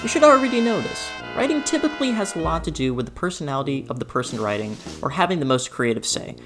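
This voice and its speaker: A woman's voice: low-pitched, sing-song, fast. A woman narrating a scripted video essay as host, with a theatrical, sarcastic delivery and exaggerated emphasis. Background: background music.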